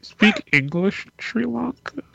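High-voiced blunt man, dry recording. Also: expressive, unhurried, creaky, pushed and bright, dry recording, rough recording. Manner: blunt, sweary. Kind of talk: casual podcast chat.

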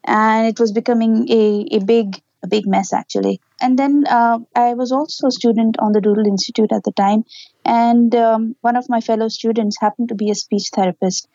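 Woman, earnest and explanatory, mellow tone, dull lo-fi audio. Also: on the high side, fairly even intonation, moderate pace, no echo. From Pakistan. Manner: earnest, explanatory, with small laughs. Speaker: interview guest, parent and educator. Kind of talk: conversational interview answers.

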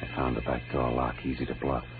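A man's voice: extremely low pitch, completely flat delivery, quick pace, over loud music. An actor playing a wry, hard-boiled private detective in a 1940s radio drama, with a clipped tough-guy delivery on a muffled old recording.

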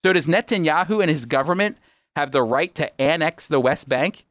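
A man's voice: a little high, animated, normal speed, a bit bright, no room sound. Man hosting radio commentary, dry close sound. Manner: emphatic, explanatory, asks and answers own questions. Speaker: radio host.

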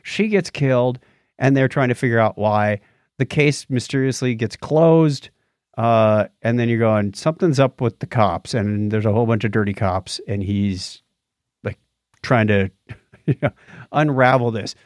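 A man speaking as a podcast co-host, giving an unscripted review in a halting, conversational way that often restarts phrases. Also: medium pitch, no room sound, clean studio sound. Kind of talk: casual unscripted review chat.

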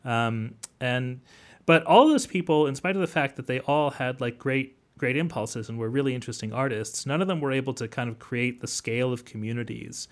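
A man speaking as an academic guest in an interview, explaining at length in a halting, thoughtful way. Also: mid-range voice. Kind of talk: interview conversation.

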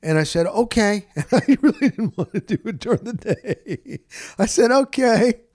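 A middle-aged man telling a personal story casually as a broadcast host, acting out the conversations he recounts in an animated way. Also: high voice, moderate pace, dry recording, studio quality.

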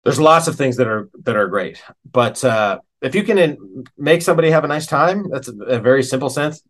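A middle-aged American man chatting casually as an interview guest, telling stories in an animated, colloquial way. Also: medium pitch, a little fast.

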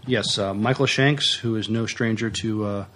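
A man co-hosts a casual news podcast. His voice is slightly low. He speaks haltingly, feeling his way through sentences. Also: fairly even intonation, fairly bright tone. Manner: hesitant, halting delivery. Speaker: podcast co-host.

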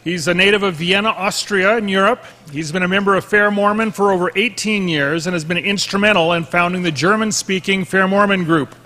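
A man's voice: high voice, fairly quick, hardly any pauses, sharp tone. A man serving as conference emcee, reading a formal introduction of the next speaker. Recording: long hall reverb.

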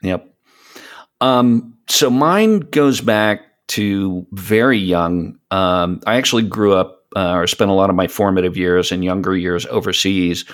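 A man talking reflectively as a podcast co-host, hesitant, often stammering on the starts of words and pausing mid-thought. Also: fairly low pitch. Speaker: podcast co-host, audio content creator. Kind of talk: reflective casual podcast conversation.

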